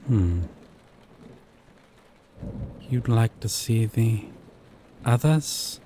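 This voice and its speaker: A man playing a gentle, obsessive yandere dating-sim character, tender and possessive, in an intimate scripted audio roleplay with a lingering, coaxing delivery. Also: mid-range voice, lively intonation, a little hiss or hum. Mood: amused, teasing. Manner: slow, lingering, coaxing, softly teasing.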